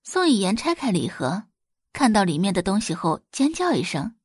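A woman narrating a scripted story with expressive, dramatic delivery. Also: mid-range voice, moderate pace, husky, dry recording, studio quality.

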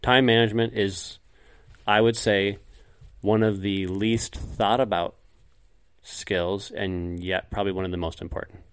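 Low-voiced man explaining with many ums. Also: steady tone, measured pace, clear, resonant tone. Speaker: podcast co-host. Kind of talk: casual chat.

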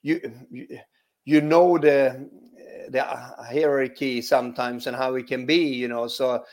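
A man with a Swedish accent talks through his football memories in an easygoing interview. He hesitates often, restarting sentences and filling pauses with "you know". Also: medium pitch, fairly even intonation, darkish tone. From Sweden. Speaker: former footballer, guest. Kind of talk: relaxed interview answers.

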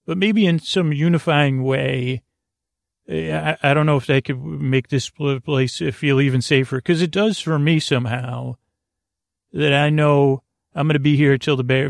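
A man with a gravelly voice hosting a sleep podcast, talking in a halting, meandering way, stammering and drifting into tangents. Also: medium pitch, dry recording, studio quality. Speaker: podcast host. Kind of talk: rambling bedtime monologue for a sleep podcast.

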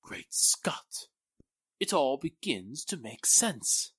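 A male actor performs an evasive businessman from a 1940s radio drama. His forceful voice swings widely in pitch with theatrical emphasis. The sound is close and dry. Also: very animated, takes it slowly, husky, no echo, in a whisper, heavily acted. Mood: dawning realization. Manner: theatrical, emphatic, faltering when challenged. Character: excitable, evasive businessman Mister Lawton, a murder suspect.

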